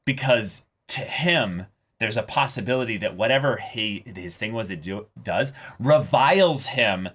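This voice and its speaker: A man co-hosting an anime reaction show, casually analysing a story in a halting, thoughtful way. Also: lowish voice, animated, a bit bright.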